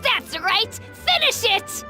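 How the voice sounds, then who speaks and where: A woman's voice: very high-pitched, sing-song, slow pace, full character voice. A woman voicing an earnest, proud little boy with a childlike, wide-eyed delivery in a video game. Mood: eager. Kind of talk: English video game voice acting. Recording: game music behind.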